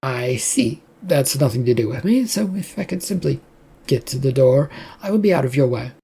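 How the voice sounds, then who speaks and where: A narrator voicing a defiant, dryly polite male employee who has been fired, in a husky storybook reading. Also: medium pitch, lively intonation, moderate pace, warm tone, full character voice. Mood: politely insistent.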